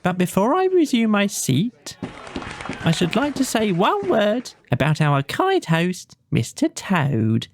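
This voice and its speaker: A man voicing a sneering chief weasel in a storybook, with a high, squeaky voice and a mock-formal speechmaking manner. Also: wildly swooping pitch, slow, a crowd in the background, noisy background, full character voice. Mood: smug, mocking. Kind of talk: storybook character voice in audio play.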